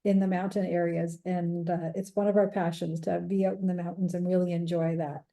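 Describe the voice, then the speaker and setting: A woman's voice: on the low side, level tone, a little fast, gravelly, dark tone. A woman hosting an interview podcast, reading an introduction and asking encouraging questions. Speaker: podcast host.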